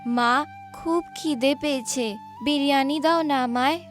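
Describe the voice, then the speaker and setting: A woman's voice: high-pitched, unhurried, faint music behind, pitched above the performer's own voice. A woman voicing an eager young daughter in a cartoon story, pleading and full of ideas in a childlike way.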